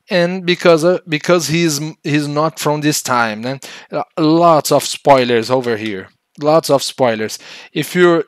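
A young Brazilian man whose first language is Portuguese, reviewing a game CD in a halting, careful way on a headset mic. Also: fairly high pitch, dry recording, studio quality. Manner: halting, restarts words often, slow and careful. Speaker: retro game collector reviewing a CD release. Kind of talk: casual show-and-tell review. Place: small room indoors.

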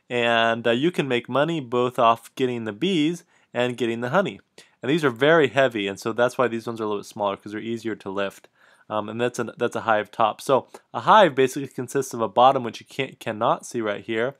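A man giving a casual, halting explanation of beekeeping over a slideshow, often restarting and correcting himself. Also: medium pitch, fairly bright tone. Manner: halting, many restarts and fillers, self-correcting.

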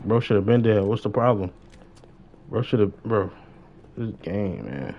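A man's voice: lowish voice, big pitch swings, unhurried, creaky. Young American male game streamer, casual slangy talk, repeats short phrases, muffled mic with light noise. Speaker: livestreamer playing a roleplay game. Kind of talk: casual livestream gaming chat with slang.

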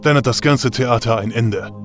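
A man's voice: medium pitch, moderate pace, faint music behind, lightly acted. A man voicing a cheerful, belligerent and dim war god in a fantasy parody audio drama. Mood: cheerful, warlike. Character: cheerful, warlike, dim muscle-bound male war god.

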